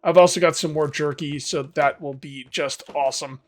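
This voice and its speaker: Hoarse, rambling gameplay commentator man. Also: a little high, steady tone, moderate pace. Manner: rambling, self-correcting, talks to viewers. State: losing his voice. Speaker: gaming video host. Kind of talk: casual let's-play commentary.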